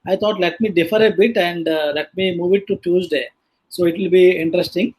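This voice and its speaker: A man hosting a live online panel, casually introducing guests in a halting way with many filler sounds. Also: sits high, steady tone, darkish tone, slightly rough. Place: online live video session.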